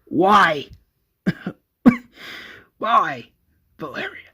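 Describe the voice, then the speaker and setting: Male storyteller as gravely wounded man in an action tale, strained, gasping, slow. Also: mid-range voice, wildly swooping pitch, fairly bright tone, exaggerated voice. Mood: desperate pleading. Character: wounded young man, desperate then resigned, dying.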